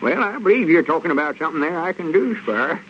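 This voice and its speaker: An actor playing a timid but boastful country fellow, with a hesitant drawl and a rural Arkansas accent, in old radio comedy style. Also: male voice, on the high side, sing-song, fast. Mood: eager, self-important. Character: Lum, timid, boastful country storekeeper, self-important bumpkin. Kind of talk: 1930s-40s radio comedy acting. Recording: muffled, noisy vintage radio recording.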